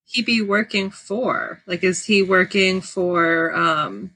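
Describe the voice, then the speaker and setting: A woman co-hosting a podcast, chatting about a book in an informal, expressive way. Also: fairly low pitch, slow. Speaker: podcast co-host. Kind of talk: casual book discussion chat.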